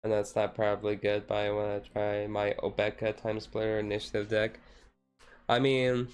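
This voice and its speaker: A man streamer chatting casually in a relaxed, rambling way on a chill hobby stream. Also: lowish voice, moderate pace, clean tone, soft background music.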